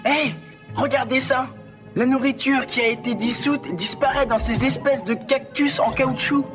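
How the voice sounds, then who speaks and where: A woman's voice: sits high, fairly even intonation, normal speed, music in the background, close to an everyday voice. A woman voicing a determined, boastful young schoolboy in a children's cartoon, eager and emphatic. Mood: excited. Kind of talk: dubbed children's cartoon acting.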